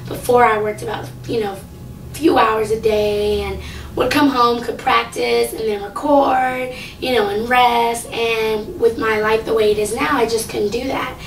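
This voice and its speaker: A woman's voice: fairly even intonation, unhurried, recorded from a distance, slight background noise. Rambling young woman, echoey room. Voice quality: low, slightly raspy. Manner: rambling, with many fillers. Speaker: singing contestant. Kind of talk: casual talk to camera.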